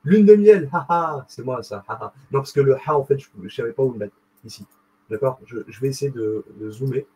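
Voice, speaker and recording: Husky-voiced man, rambling and animated. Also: mid-range voice, brisk, poor audio. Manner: rambling, animated, slangy, addresses viewer directly. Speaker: video host. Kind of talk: casual explanatory monologue.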